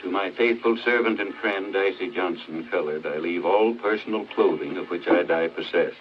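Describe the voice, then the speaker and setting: A small-town doctor, acted by a man in a 1940s film drama. He has a very deep voice and speaks in a measured, plain way. The soundtrack is muffled with faint hiss. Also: close to an everyday voice. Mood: formal reading. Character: matter-of-fact small-town Southern male doctor.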